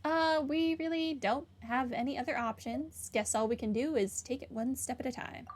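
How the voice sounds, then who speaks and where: A woman's voice: sits high, moderate pace. A woman giving casual, wry commentary while playing a video game, tossing out offhand jokes. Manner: wry, offhand, jokey asides. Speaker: gameplay commentator. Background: game music.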